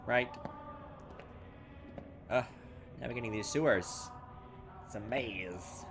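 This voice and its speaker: A man's voice: mid-range voice, takes it slowly, over music. A man playing a horror game and reading its text aloud, thinking aloud and making fun of his own mistakes. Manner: self-mocking, thinks aloud, loses his way. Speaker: let's play gamer.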